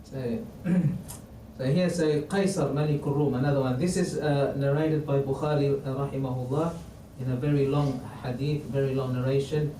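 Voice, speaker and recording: A man who is not a native English speaker teaching a religious history class in a mosque, halting with many restarts and quoting Arabic. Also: medium pitch, fairly even intonation, mellow. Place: mosque hall. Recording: roomy, distant mic, faint noise.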